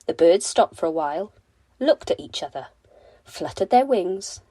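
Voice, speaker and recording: A woman narrating a children's bedtime story in an expressive, deliberate storytelling manner. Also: lowish voice, husky, dry recording. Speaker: storybook reader.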